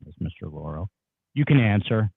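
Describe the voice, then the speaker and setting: A man's voice: low-pitched, animated, normal speed, vocal fry, breathy edge, dry recording, heavily acted. A man reading the part of a terse attorney instructing a witness during a deposition. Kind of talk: dramatic reading of legal objections. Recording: dull, phone-like narrow band.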